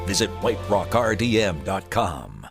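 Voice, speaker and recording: A man's voice: sits low, lively intonation, measured pace, gravelly. A man doing a scripted, dramatic promotional voice-over for an advertisement. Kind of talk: dramatic scripted radio-style advert read. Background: music bed.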